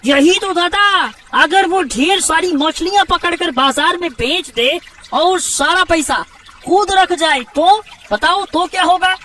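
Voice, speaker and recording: A woman's voice: extremely high pitch, slow, pushed and bright, far from the mic, a little hiss or hum, exaggerated voice. A woman voicing a nervous, nagging younger fisherman brother in a Hindi cartoon, speaking in a rustic, exclaiming way. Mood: suspicious. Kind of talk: Hindi cartoon moral story acting.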